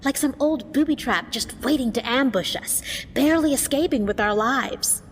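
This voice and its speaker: A woman's voice: high voice, normal speed, slight background noise. A woman playing a brash, crude, tomboyish young woman in an audio drama, blunt and cheeky. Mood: let down.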